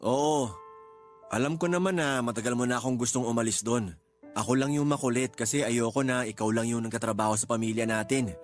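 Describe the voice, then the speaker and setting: A male actor as an aging working father talks in a medium-pitched voice for radio drama. He is gentle, supportive and a little teasing. Light music plays underneath. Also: a little fast, close to an everyday voice. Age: middle-aged. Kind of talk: Tagalog radio drama acting.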